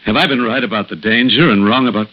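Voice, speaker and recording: An actor playing an earnest, worried doctor in a 1960s radio drama, pondering aloud in a deliberate way. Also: male voice, fairly low pitch, noisy background, close to an everyday voice. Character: earnest, worried hospital doctor, brooding and thoughtful. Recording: muffled old radio recording.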